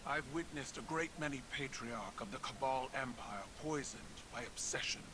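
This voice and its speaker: An actor playing a grave, weary alien empire commander, speaking formally and deliberately in dramatic game narration. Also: male voice, medium pitch, background noise, close to an everyday voice. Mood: sober, cautioning.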